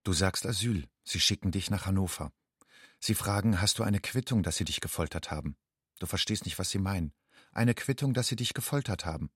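An actor playing a stark narrator in a literary audio drama, telling a refugee's fear in terse, matter-of-fact short sentences. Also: male voice, low-pitched, brisk, a bit bright, husky. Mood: terse, grim.

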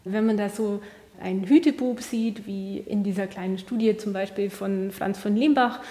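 Female curator, medium-pitched, unscripted guided-tour explanation, recorded in a big reverberant museum room. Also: fairly even intonation, moderate pace, warm tone. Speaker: exhibition curator, interviewee. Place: large indoor museum gallery. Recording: very reverberant gallery hall.

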